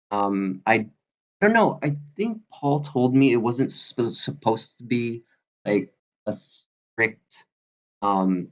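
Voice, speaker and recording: Dull, lo-fi call audio carries a man with a fairly low voice. He gives casual feedback haltingly, feeling for his words as he thinks aloud. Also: gravelly. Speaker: participant giving script feedback.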